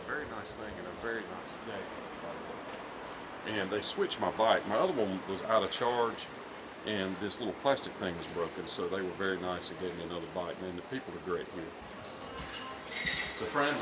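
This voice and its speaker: A man's voice: low-pitched, expressive, normal speed. Chatty man vlogging on a noisy street. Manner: chatty, addresses viewers as friends. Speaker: travel vlogger. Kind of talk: casual walking-tour vlog. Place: outdoors in a city square. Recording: noisy outdoor street recording.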